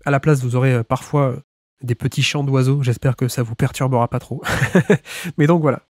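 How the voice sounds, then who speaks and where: A man's voice: medium pitch, fairly even intonation, brisk, darkish tone. A man with a cold hosting a basketball podcast, chatting through the introduction with restarts and small laughs. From France. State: has a cold. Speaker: podcast host.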